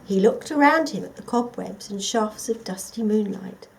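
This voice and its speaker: A woman reading a children's story aloud as a scripted narration, in an expressive, dramatic storytelling manner. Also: mid-range voice, normal speed, creaky, poor audio. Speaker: storybook reader.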